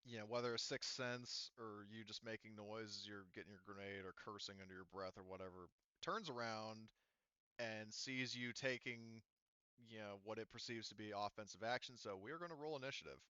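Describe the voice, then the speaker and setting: A man running a tabletop game casually as game master, halting, often muttering to himself and swearing lightly. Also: fairly low pitch, sharp tone, clean tone, dry recording, lo-fi sound.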